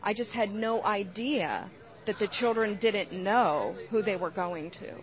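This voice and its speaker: A woman's voice: medium pitch, measured pace. A woman giving earnest, explanatory interview answers in the manner of a trainer. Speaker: interview guest, whistleblower. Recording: dull, lo-fi sound.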